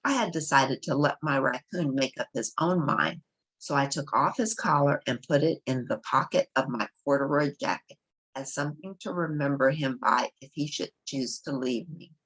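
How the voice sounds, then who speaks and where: A woman's voice: very deep voice, moderate pace, fairly bright tone, breathy edge, poor audio. A woman reading a children's novel aloud as a storybook narrator. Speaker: storybook reader.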